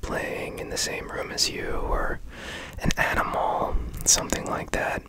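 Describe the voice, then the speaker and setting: A man guiding a meditation in ASMR style, in a soft breathy whisper with gentle, soothing instruction, close to the microphone. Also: unhurried, low-quality recording. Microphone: close studio microphone.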